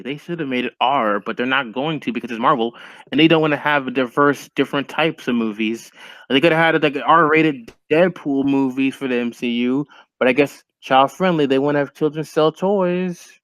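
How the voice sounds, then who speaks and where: A man's voice: medium pitch, animated, a little fast, a bit bright, dry recording, rough recording. A young man chatting casually and with strong opinions as a stream co-host, full of "like" fillers. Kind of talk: casual chat over a call.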